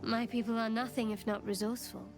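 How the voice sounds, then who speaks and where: Woman playing a guarded, higher-pitched dark cleric. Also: steady tone, quick pace, soft background music, natural voice. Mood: reasoned, urging. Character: guarded, loyal, wry young female dark cleric. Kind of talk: video game character acting.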